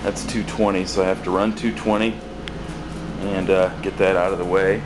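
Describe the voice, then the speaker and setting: A man with a very low voice talks loosely as he walks a handheld camera around a cluttered basement workshop. He backtracks and fills pauses with "uh" and "um", easygoing and unscripted. A steady hum of air conditioning sits clearly behind him in the noisy basement. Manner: rambling, relaxed, many fillers and restarts. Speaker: building maintenance worker giving a shop tour. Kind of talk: casual walkaround tour. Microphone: handheld camera mic, speaker off screen.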